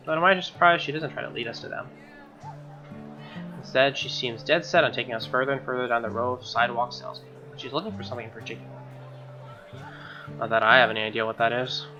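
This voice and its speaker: Guy reading a visual novel out loud, sharp bright voice, jokey asides, kinda lo-fi with music. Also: medium pitch. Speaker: let's play host. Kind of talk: visual novel read-aloud with casual asides. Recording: dull lo-fi sound, music behind.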